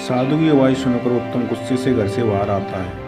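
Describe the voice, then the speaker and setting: A man's voice: mid-range voice, moderate pace, over loud music. A man narrating a scripted moral story for children as its storyteller.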